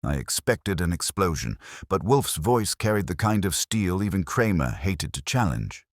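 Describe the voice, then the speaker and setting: Very deep-voiced man narrating detective fiction. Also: big pitch swings, moderate pace, no room sound, clean studio sound. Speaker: audiobook narrator. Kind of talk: scripted first-person detective story narration.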